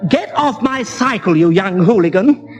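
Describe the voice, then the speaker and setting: Very high-voiced fussy curate. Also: male voice, lively intonation, normal speed. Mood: indignant. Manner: prim, fluttery, nervous chuckling. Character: fussy, polite, easily flustered male English curate. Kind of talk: 1960s radio comedy acting. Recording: reverberant old radio theatre recording.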